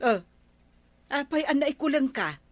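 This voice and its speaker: An actress playing a nagging middle-aged wife with an Ilocano accent in a radio drama. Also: female voice, sits high, expressive, measured pace, no echo.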